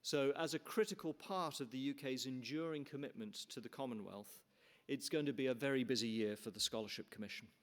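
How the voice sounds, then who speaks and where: A man's voice: medium pitch, fairly quick. A middle-aged man from Canada, the chair, giving an earnest formal welcome speech in a conference hall with frequent restarts and fillers.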